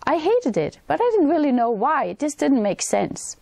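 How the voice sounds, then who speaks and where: A woman in her sixties from Denmark with a Danish accent, hosting her own channel and talking earnestly straight to camera in a plain, slightly halting way. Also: high voice, very animated, vocal fry, dark tone, dry recording. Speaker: channel host. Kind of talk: direct-to-camera talk. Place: indoors, in front of a wintry forest backdrop. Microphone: camera framing head and shoulders.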